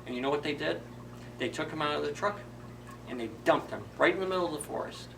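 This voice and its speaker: Male children's storyteller, fairly high bright voice, conversational retelling, slightly distant recording with faint noise. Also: fast, creaky. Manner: simple repeated phrasing with questions to children. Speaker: library storyteller.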